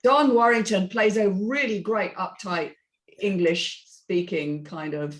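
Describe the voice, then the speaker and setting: A woman's voice: mid-range voice, a little slow, crowd noise. A woman moderating a casual feedback discussion, speaking informally and calling on others.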